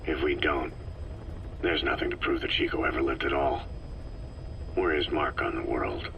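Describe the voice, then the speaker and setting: A man playing a stoic, weary middle-aged soldier in a video game, gravelly and terse, with measured, somber delivery. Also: extremely low pitch, fairly even intonation. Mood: somber, reflective. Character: gruff, stoic, weary veteran soldier commander. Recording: noisy cassette tape recording.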